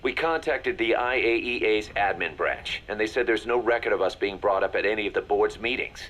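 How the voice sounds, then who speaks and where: An actor playing a serious military second-in-command in a video game, briefing in a businesslike, measured manner. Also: male voice, lowish voice, a bit bright, close to an everyday voice. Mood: stern.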